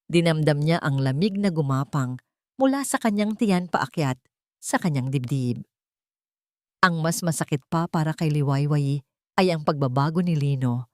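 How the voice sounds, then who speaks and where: Low-pitched woman narrating a dramatic story. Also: lively intonation, normal speed, darkish tone, no echo, studio quality. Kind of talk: scripted dramatic narration of a Tagalog love story.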